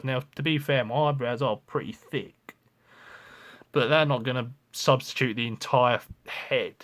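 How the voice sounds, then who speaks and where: A man's voice: mid-range voice, fairly bright tone. A man, talking frankly and ramblingly in a confessional vlog, with lots of restarts and swearing. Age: 20s. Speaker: vlogger.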